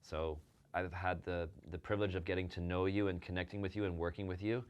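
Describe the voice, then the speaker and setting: A man's voice: deep voice, flat delivery, fast talker, a little mellow, clear, resonant tone, muffled recording. A man hosting an interview, speaking casually and praising his guest with many fillers. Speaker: show host and agency owner.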